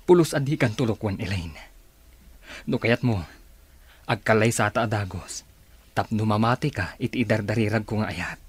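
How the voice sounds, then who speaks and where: An actor playing an earnest, lovestruck young man in an Ilocano radio drama, with a husky voice and an Ilocano accent. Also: lowish voice, moderate pace, pauses often, no echo. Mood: earnest, tender.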